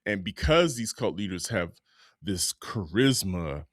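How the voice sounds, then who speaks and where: A man with a fairly low voice talks casually as a podcast co-host. He is emphatic and repeats words for effect. The sound is close and dry. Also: big pitch swings, a little slow, no room sound.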